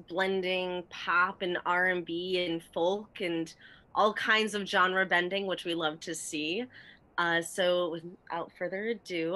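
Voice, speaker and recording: A woman's voice: on the low side, bright, forceful tone. A woman co-hosting an interview series, introducing the guest with enthusiasm. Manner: enthusiastic, welcoming. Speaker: co-host.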